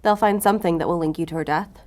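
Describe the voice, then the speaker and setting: An actress playing a curt, skeptical FBI special agent in an audio drama, official and dryly confrontational. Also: female voice, fairly low pitch, fast, a little mellow, close to an everyday voice. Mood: pressing, skeptical.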